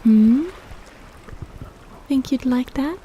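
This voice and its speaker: A woman voicing a possessive, flirtatious female kraken in a romantic roleplay audio, teasing in a sultry, playful, unhurried way with sighs. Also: high voice, warm tone, slight background noise.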